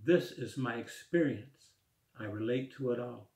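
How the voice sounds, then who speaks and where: A man in his sixties reading his own rhymed poem aloud at home in a slow, rhythmic recitation. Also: medium pitch, a little mellow. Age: 60s. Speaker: poet. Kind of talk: spoken word poetry reading. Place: small room at home, indoors. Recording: echoey room.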